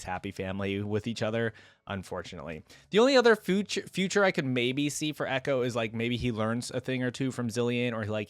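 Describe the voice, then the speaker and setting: A man's voice: lowish voice, no echo. Casual man chatting to viewers, dry close sound. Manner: informal, rambling, enthusiastic about the game. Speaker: reaction video creator.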